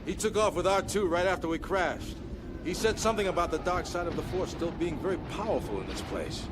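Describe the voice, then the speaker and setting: Animated rebel adventurer,, high for a man, spirited, vigilant, sci-fi audio drama, noisy backdrop. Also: fairly quick. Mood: concerned.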